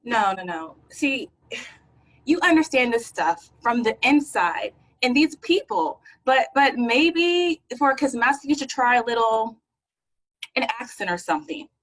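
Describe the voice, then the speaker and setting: A woman playing a calm, persuasive colleague who keeps encouraging a nervous friend. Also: on the high side, unhurried, poor audio. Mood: coaxing. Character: coaxing, encouraging female colleague.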